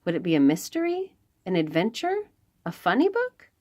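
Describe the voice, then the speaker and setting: Woman reading storybooks aloud with very lively intonation. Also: lowish voice. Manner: expressive, with dramatic emphasis and pauses. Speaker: children's read-aloud host. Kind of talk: expressive storybook read-aloud for young children.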